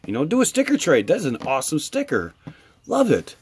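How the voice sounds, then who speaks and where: Husky American man with a lively delivery. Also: fairly high pitch, fairly quick. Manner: enthusiastic, appreciative. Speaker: coin hobby vlogger. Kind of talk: casual chat to camera while opening mail.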